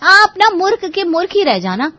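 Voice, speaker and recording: A woman's voice: very high-pitched, very animated, moderate pace, sharp tone, dry recording, exaggerated voice. A woman voicing a cunning, domineering young wife in a family cartoon, hectoring and mocking. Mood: bossy, threatening. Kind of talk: Hindi family cartoon voice acting.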